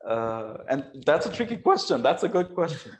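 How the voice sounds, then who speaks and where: A man speaking as a guest expert in a remote interview, analytical but halting, with frequent fillers and restarts. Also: fairly high pitch, sing-song. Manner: hesitant, many restarts and fillers, quick laughs. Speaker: guest expert on Iranian affairs.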